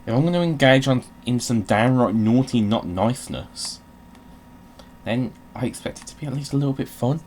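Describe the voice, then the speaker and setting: A man's voice: medium pitch, lively intonation, moderate pace, a little mellow, slight background noise. A man giving casual, sweary commentary while playing a video game, in a wry, grumbling manner.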